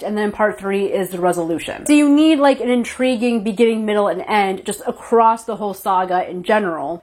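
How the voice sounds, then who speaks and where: A woman with a slightly stuffy voice from a cold, casually reviewing a horror film for her channel and explaining her opinions. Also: medium pitch. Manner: opinionated, animated, explains with "like" and "right".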